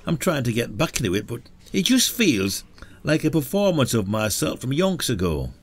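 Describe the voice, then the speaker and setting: A man's voice: medium pitch, huge pitch swings, moderate pace. A British man acting a weary, self-doubting retired detective in an audio drama. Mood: troubled, confiding. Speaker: actor in a podcast drama.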